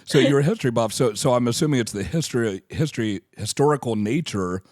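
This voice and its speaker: A man co-hosting a podcast while getting over a cold, his voice strained and fading. His pitch moves a lot as he jokes and asks questions. He is close on a dry mic. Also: expressive, fast talker, darkish tone, no echo. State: has a cold, losing his voice. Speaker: podcast co-host. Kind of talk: casual podcast chat.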